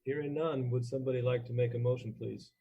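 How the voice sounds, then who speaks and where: A man chairs a meeting in a mellow, husky voice with a flat delivery. He talks procedurally and a little haltingly, over dull online meeting audio. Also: medium pitch.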